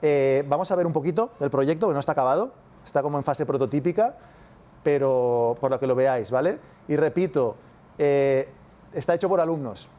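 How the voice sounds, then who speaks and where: A man's voice: fairly high pitch, fairly quick, dark tone, lo-fi sound. A man from Spain with a Spanish accent giving a casual university masterclass, constantly checking in with his audience. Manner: casual, keeps checking with "¿vale?". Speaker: university lecturer. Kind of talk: masterclass lecture.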